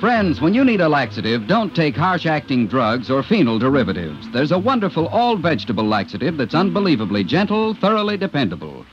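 A man's voice: on the high side, wildly swooping pitch, a little fast, hardly any pauses, over music. An American man reading a 1950s radio advertisement as a commercial announcer. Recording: dull old radio recording.

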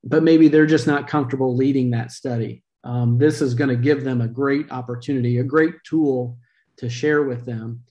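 A man's voice: mid-range voice, steady tone, normal speed, dark tone, muffled recording. A middle-aged man hosting a video-call interview, talking earnestly with a few fillers. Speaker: podcast host. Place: home room, indoors. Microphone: video call webcam.

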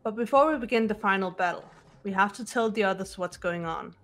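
A woman voicing a composed, analytical teenage girl in a measured, formal way for a fan-voiced visual novel. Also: mid-range voice, a bit bright, faint music behind, close to an everyday voice. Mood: resolute.

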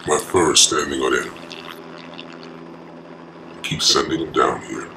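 An actor playing a defiant male beast-man on the run, growling deep and gravelly. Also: measured pace, a little hiss or hum, heavily acted. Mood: menacing. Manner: defiant, menacing. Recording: echoey, distant, under loud music.

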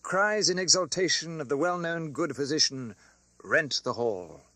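A man narrating a classic novel as an audiobook, with a theatrical, expressive delivery. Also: fairly high pitch, unhurried, no echo.

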